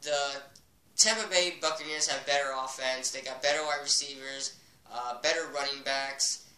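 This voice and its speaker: A man's voice: fairly high pitch, bright, forceful tone. A man with a cold, sniffling slightly, giving casual football predictions in a rambling, opinionated way full of ums. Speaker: sports vlogger. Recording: echoey room, far from mic.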